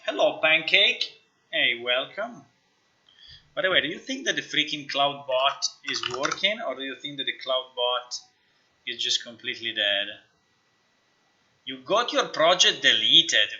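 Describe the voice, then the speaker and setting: Very bright-voiced man thinking aloud on stream. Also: mid-range voice, animated, dull audio. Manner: thinks aloud, informal, halting. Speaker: live streamer, game developer. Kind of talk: casual live-stream chat while demonstrating software.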